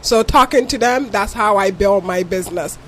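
A woman's voice: medium pitch, normal speed, a bit bright, faint music behind, rough recording. Ghanaian woman explaining insurance. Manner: earnest, explains patiently with examples. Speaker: insurance agent guest. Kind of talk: interview answers.

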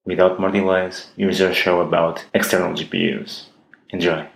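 A man's voice: low-pitched, level tone, creaky, slight background noise. A man with a Russian accent hosting a casual tech podcast, joking and often restarting his sentences. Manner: halting, jokey, many restarts. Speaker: podcast host.

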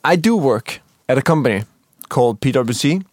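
Young guy on a podcast, calm explainer, dry humor, mid pitch, no room sound. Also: normal speed, no room sound. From Denmark. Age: young adult. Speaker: podcast co-host. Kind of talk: casual podcast chat.

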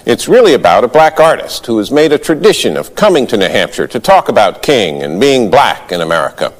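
A man's voice: mid-range voice, sing-song, fairly quick, in a large hall. A man introducing a story as a broadcast news anchor, in scripted delivery.

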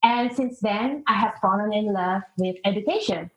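A woman's voice: mid-range voice, normal speed, a bit bright. A middle-aged woman with an Indonesian accent, talking reflectively about herself as a guest in an interview. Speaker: podcast guest, international teacher. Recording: muffled, roomy call audio.

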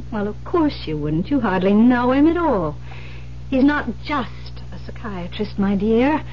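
A woman's voice: fairly high pitch, very animated, slow. An actress playing a sadistic escaped madwoman killer in an old radio thriller, taunting and condescending with menace. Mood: gloating, mocking. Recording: dull, noisy old radio recording.

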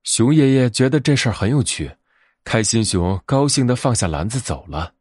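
Male narrator for children's bedtime tales, mid-pitched, lively melodic delivery. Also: normal speed, husky, no echo, studio quality. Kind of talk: scripted children's fairy-tale narration.

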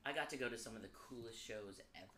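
A woman guest on a podcast, telling animated stories from her life, swearing freely and laughing often. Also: very deep voice, fast. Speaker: podcast guest, Broadway performer. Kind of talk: casual storytelling chat. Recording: echoey room with faint background noise.

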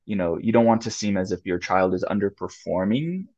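Young American man, low-pitched, musing and halting; muffled dry sound. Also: darkish tone, no room sound. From United States. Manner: thoughtful, many restarts and fillers, laughs often. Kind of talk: casual conversation.

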